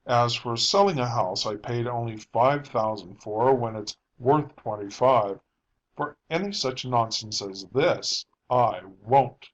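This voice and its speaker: Man playing a gruff, irritable husband. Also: a little high, racing, hardly any pauses, dull audio, voice pushed up, lightly acted. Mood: angry, resentful. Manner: growling, forceful, emphatic. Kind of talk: dramatic audiobook character reading.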